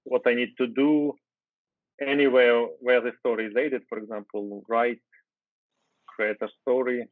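A Ukrainian-native man in his thirties talks through code in a relaxed way, with fillers and restarts. He sits at home on a video call, and the sound is thin and phone-like. The audio is dry, with no room echo. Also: medium pitch, a little slow. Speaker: guest software developer. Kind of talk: casual screen-share coding chat.

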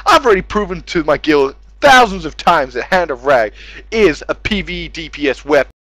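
A man giving a mocking, over-the-top, profane read-aloud of gamers' posts, often breaking into shouts. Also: on the high side, expressive, normal speed, no room sound. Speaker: gamer in a voice chat.

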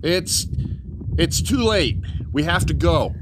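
A man's voice: fairly high pitch, animated, takes it slowly. Man playing urgent squad leader over noisy ambience. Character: urgent, commanding man leading an escape. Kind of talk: audio drama acting.

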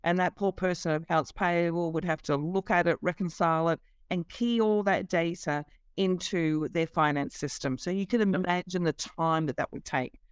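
A woman's voice: lowish voice, normal speed, clear, resonant tone, no echo. A middle-aged woman, a company CEO, explaining her business over a video call, fluent but with many fillers. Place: office.

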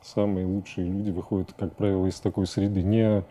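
A man in his forties giving halting, thoughtful answers as a guest in a studio interview. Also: low-pitched, level tone, warm tone. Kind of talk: reflective studio interview talk. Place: indoor TV studio at a desk.

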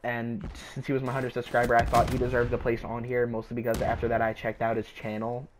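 A man's voice: mid-range voice, monotone, fast talker. Scratchy-throated young guy rambling over gameplay. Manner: rambling, hesitant, filler-heavy. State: sick with a sore, scratchy throat. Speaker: gaming YouTuber. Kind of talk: casual gameplay commentary.

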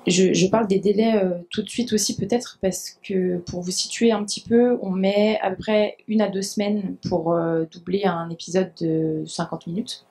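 A woman's voice: medium pitch, fairly even intonation, normal speed, few pauses, warm tone. A woman in her thirties speaking on a university panel with a handheld mic, explaining her work in a hesitant way with many fillers. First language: French. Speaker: dubbing adapter speaking on a panel. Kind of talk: conference panel talk. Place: university lecture hall.

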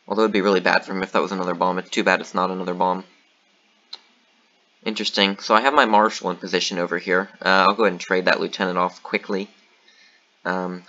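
A man's voice: low voice, highs cut off. A man giving casual commentary on his own board game match, thinking aloud with lots of ums and uhs. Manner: thinking aloud, relaxed, many fillers.

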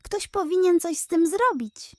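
Woman voicing an earnest high-pitched young beaver. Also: animated, unhurried, a little mellow, dry recording. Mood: concerned. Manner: earnest, insistent. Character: concerned young male beaver.

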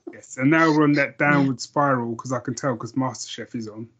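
A man's voice: mid-range voice, brisk, highs cut off, a crowd in the background. A man co-hosting a casual podcast, easygoing and rambling, with self-deprecating asides. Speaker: podcast co-host. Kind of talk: casual chat.

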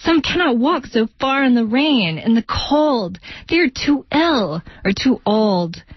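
A woman with a husky voice playing a worried bus rider in a readers theater monologue. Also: on the high side, expressive, measured pace, no echo. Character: worried working-poor bus rider and parent, pleading for others. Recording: dull, dry lo-fi radio recording.

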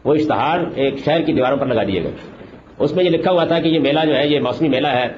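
A man's voice: fairly high pitch, a little fast. A man, telling an anecdote in a public talk. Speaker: speaker. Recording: dull, noisy archive talk recording.

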